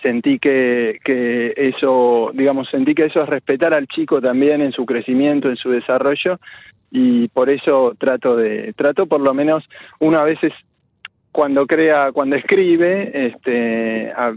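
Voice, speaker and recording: A man with a Buenos Aires accent answers interview questions in a thoughtful, halting way, leaning on fillers as he restarts his sentences. His voice comes over a thin, phone-like line with no room sound. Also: medium pitch, no room sound. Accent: Buenos Aires Spanish. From Argentina. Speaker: children's story author, guest. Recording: dry tinny phone-like line.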